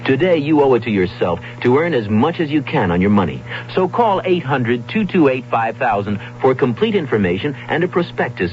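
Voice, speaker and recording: A man reading a radio commercial in a brisk, persuasive announcer manner. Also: mid-range voice. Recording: dull old radio recording with music.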